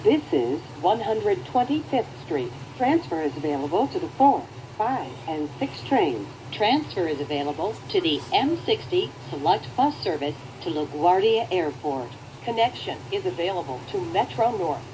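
An American woman reading scripted transit announcements in a measured, formal manner. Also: lowish voice, very animated, warm tone, slight background noise, low-quality recording.

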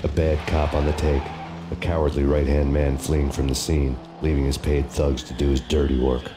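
Very deep, deadpan noir cop. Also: male voice, normal speed, over loud music. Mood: brooding, wry. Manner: deadpan, terse, brooding. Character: grim world-weary hard-boiled male cop narrating his revenge. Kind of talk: noir scripted narration.

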